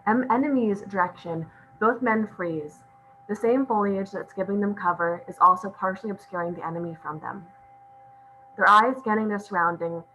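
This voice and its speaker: A woman's voice: mid-range voice, fairly bright tone, lo-fi sound, a little hiss or hum. A woman reading stage directions as scripted narration at a table read.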